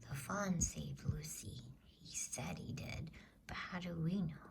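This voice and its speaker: A woman voicing a sceptical, grumbling boy in a breathy whisper for a storybook reading. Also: low-pitched, unhurried. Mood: suspicious, grumbling.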